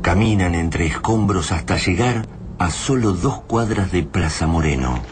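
A man's voice: low-pitched, lively intonation, unhurried, noisy background. An Argentine man narrating a scripted radio drama in a grave, literary manner.

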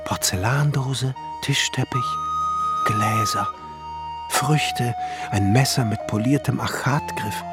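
An actor playing a reverent, awestruck glassmaker in a German radio play, speaking in a halting, theatrical way that often drops towards a whisper. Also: male voice, medium pitch. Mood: awed wonder. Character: awestruck male glassmaker rapturously contemplating a painting. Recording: loud music behind the voice.

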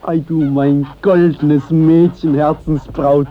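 An actor playing an ardent, simple-hearted young peasant sweetheart in a classic 1950s German radio drama. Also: male voice, fairly high pitch, little pitch movement, slow, narrow, tinny audio. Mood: contrite, tender.

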